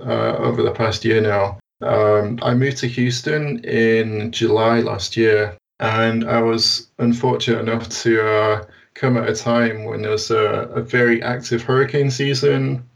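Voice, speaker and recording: The British guest on an interview podcast, a man picking his words carefully in a creaky voice, sitting a bit back from his microphone. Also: mid-range voice, recorded from a distance, lo-fi sound. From United Kingdom. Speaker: guest, science blogger. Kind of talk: conversational interview answers.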